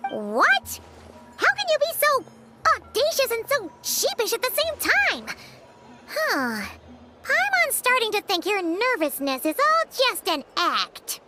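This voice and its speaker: A tiny fairy-like girl sidekick from a video game. The pitch is sky-high and swoops with every exclamation. The temper is cheeky and blunt, over loud backing music. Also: huge pitch swings, takes it slowly, exaggerated voice. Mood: exasperated. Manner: refers to self in third person, exclaiming.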